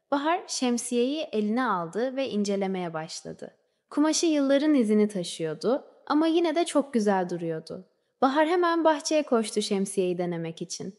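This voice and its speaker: A woman narrating a scripted children's fairy tale in a measured storybook way, letting phrases trail off softly. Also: fairly high pitch. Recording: long reverberant hall-like echo.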